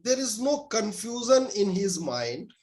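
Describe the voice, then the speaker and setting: A man, an Urdu speaker, answering interview questions as a scholar and pausing often with fillers and restarts. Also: sits high, sing-song, a little mellow. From India. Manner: hesitant, many filler sounds and restarts.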